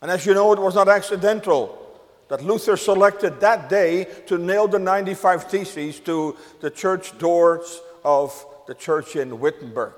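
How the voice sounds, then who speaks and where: Older Dutch-born man preaching slowly. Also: high-pitched, long reverb tail. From Netherlands. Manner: measured preaching with emphatic rises. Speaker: pastor. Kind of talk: sermon.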